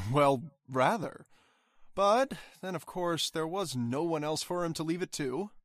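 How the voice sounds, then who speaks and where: A man's voice: fairly high pitch, expressive, a little slow, dry recording, studio quality. A man playing an uneasy, grumbling husband in an old-time radio drama, conversational and hesitant. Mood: amused. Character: uneasy, grumbling husband in a grand cold house.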